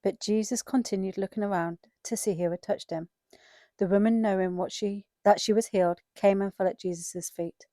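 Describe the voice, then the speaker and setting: A woman's voice: mid-range voice, steady tone, moderate pace, mellow, no room sound. A woman giving a scripted narration of a dramatized Bible reading.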